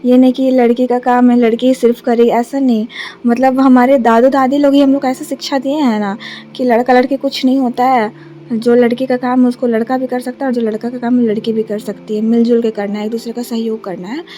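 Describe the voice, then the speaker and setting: A young woman from Jharkhand, India, vlogging casually on a phone camera, her throat a little sore, speaking softly with many hesitations. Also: fairly high pitch, flat delivery, fairly quick, slight background noise. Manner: soft, subdued, many hesitations. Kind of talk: casual vlog narration. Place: bus stand, then a relative's flat.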